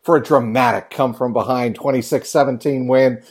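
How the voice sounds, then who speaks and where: A man's voice: medium pitch, fairly even intonation, brisk, slightly rough. A man hosting a sports podcast in an upbeat, conversational style. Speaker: podcast host.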